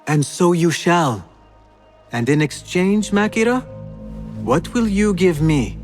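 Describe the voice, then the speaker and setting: An actor playing a smooth-talking young male infiltrator in a game cutscene, courtly and measured, with a teasing, probing manner. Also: high-pitched, animated, faint music behind. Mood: smooth, coaxing. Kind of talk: video game cutscene acting.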